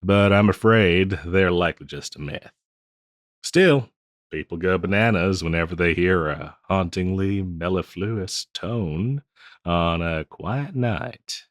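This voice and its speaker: Man playing a deep-voiced folksy old Western bartender. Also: slow pace, no echo, studio quality. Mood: playful, coy. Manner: folksy, rambling, chuckling drawl with hesitations. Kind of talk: intimate scripted roleplay audio, addressed to listener.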